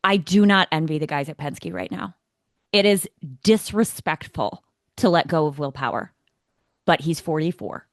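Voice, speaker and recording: A man's voice: fairly high pitch, moderate pace, a bit bright, no echo, studio quality. An American man co-hosting a motorsport podcast, giving opinions in a casual, emphatic, conversational way.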